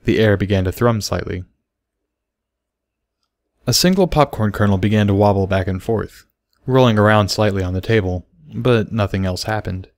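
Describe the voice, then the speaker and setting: A man's voice: on the low side, moderate pace, vocal fry, pauses often, a little mellow, dry recording, clean studio sound. A man reading scripted story narration as an audiobook reader.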